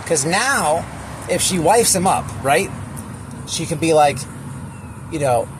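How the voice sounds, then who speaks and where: High-pitched man ranting over clear background noise. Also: animated. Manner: repetitive, halting, emphatic, crude slang. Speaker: online commentator. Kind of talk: casual opinionated reaction rant.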